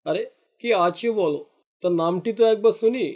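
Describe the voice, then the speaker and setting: A man's voice: high voice, moderate pace, darkish tone. A man voicing a jealous, greedy fisherman in a cartoon, grumbling and indignant in his delivery. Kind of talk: cartoon dialogue acting.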